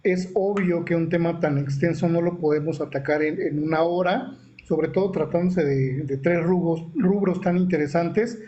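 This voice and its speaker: A man's voice: fairly high pitch, warm tone, slight background noise. Formal man moderating, lo-fi sound. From Mexico. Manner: measured, formal, with frequent fillers and restarts. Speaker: panel moderator. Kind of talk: moderating an online panel discussion.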